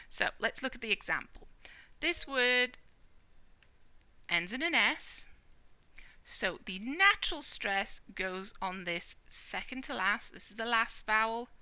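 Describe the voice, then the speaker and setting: A British woman teaching a Spanish lesson, explaining clearly and checking in with the listener as she goes. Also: medium pitch, bright, forceful tone, no echo. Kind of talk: whiteboard language lesson.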